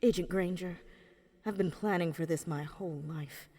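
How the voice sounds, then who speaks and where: A woman's voice: on the low side, normal speed, mellow, in a large hall, lightly acted. A woman playing a showy, swaggering engineer and designer in a comedy audio drama. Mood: confident. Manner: swaggering, playful. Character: cocky, showy female engineer and theme-park designer, doctor.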